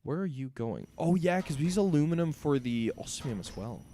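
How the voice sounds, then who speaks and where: Hoarse male streamer, mellow and lively. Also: on the high side, normal speed. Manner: talks to himself while building machines. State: raspy, sore voice. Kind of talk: casual gameplay commentary.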